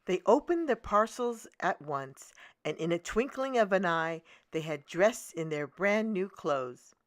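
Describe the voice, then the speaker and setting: A woman narrating a children's storybook as a read-aloud host, in a deliberate, expressive manner. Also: on the low side, no echo, clean studio sound.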